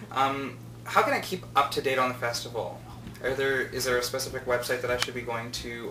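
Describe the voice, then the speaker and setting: A man asks questions as an interviewer. His voice is bright and forceful with a brisk pace, picked up a little far from the mic in a roomy space. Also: mid-range voice. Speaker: interviewer, presenter. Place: indoor room, on-location video interview. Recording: roomy, distant mic, faint noise.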